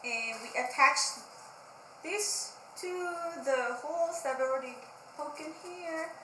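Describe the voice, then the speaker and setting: A woman hosting children's storytime, reading and explaining in an expressive, child-directed way, in a roomy space with faint noise. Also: sits high, a little slow. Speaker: library storytime host. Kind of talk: children's read-aloud and craft demonstration.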